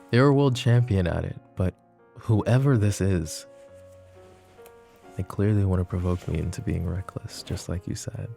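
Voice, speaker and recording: A man playing a flirty, possessive mafia boss in an intimate roleplay, speaking sardonically and softly with slow, deliberate pauses. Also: low voice, gravelly, heavily acted. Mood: grim, brooding. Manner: sardonic, soft-spoken, slow pauses, swearing and sighs. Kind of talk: intimate ASMR roleplay spoken to the listener.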